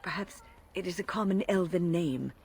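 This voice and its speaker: An actress playing an anxious woman in a video game, with a breathy, hushed voice and tentative, halting delivery. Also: on the low side, a little hiss or hum, close to an everyday voice. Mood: anxious, hopeful.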